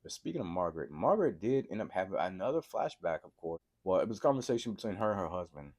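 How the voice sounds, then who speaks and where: A man with a cold and a sore throat, excitedly recapping a TV episode for his viewers and often checking in with a quick "right?". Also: low voice. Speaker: TV episode reviewer. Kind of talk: casual TV recap vlog.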